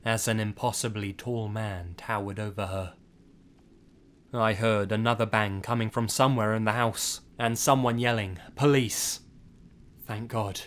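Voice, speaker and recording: A man reading a scripted first-person horror story in a measured, grave and suspenseful manner. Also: lowish voice. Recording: music behind the voice. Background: background music.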